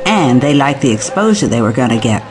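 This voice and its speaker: Woman acting breezy, animated event organiser. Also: very deep voice, brisk, noisy background. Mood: pleased, breezy. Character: upbeat, confident female event organiser, encouraging. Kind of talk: audio drama acting.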